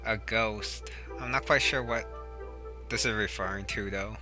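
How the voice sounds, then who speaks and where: A man giving casual gameplay commentary, low-key, as if muttering to himself. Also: fairly even intonation, measured pace. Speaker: game streamer. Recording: game music behind the voice.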